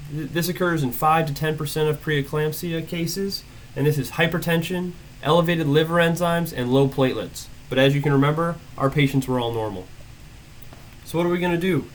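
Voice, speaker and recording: A young adult man with a head cold presents an emergency medicine case and teaches it step by step, listing findings briskly. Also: fairly high pitch, a little hiss or hum, poor audio. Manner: brisk, methodical, listing findings in short phrases.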